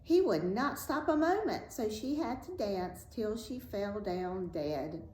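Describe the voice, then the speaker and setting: A woman reading a fairy tale aloud as a storyteller, in a measured, dramatic and expressive way. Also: mid-range voice. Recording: roomy echo, faint background noise.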